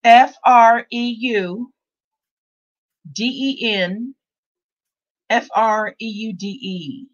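A woman's voice: on the high side, drawn-out words, long pauses, muffled recording. A woman in her sixties hosting a casual talk show, rambling and repeating herself with many restarts. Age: 60s. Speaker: host. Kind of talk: casual podcast chat.

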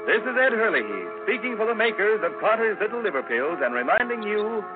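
A man's voice: high voice, lively intonation, fairly quick. A man working as a radio announcer, reading in 1940s broadcast style. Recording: tinny old radio broadcast with music.

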